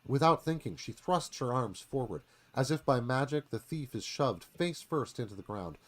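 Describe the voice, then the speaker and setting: Male narrator for a screenplay read, mid-pitched, dramatic and animated in delivery. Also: normal speed. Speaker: narrator reading stage directions.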